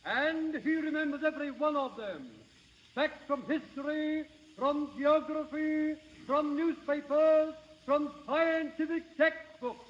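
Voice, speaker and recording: An actor playing a grandly formal music-hall master of ceremonies, declaiming in a 1930s radio drama. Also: male voice, very high-pitched, slow pace. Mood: grand, proud. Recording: muffled, noisy 1930s radio broadcast.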